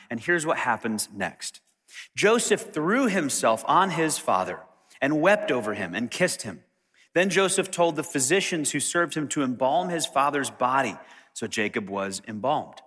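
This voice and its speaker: Male pastor, mid-pitched, slightly raspy from recent illness; friendly conversational sermon, long church reverb. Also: fairly bright tone. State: slightly raspy throat after being sick. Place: church sanctuary. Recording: reverberant hall.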